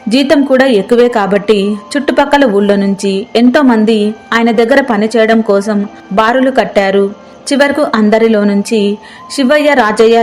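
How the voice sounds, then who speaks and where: A woman's voice: on the high side, darkish tone. A woman reading a scripted moral folk tale as narrator. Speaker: story narrator.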